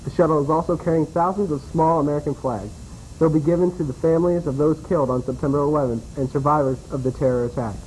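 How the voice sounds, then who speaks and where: A man co-anchoring a student television newscast, reading stories at a steady pace. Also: fairly high pitch, fast, a little hiss or hum, rough recording. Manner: steady newsreading. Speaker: news anchor. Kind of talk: read news bulletin.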